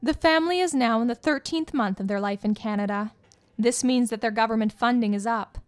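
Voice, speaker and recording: A woman reporter giving scripted news narration in a measured, clear delivery as a voice-over. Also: fairly high pitch.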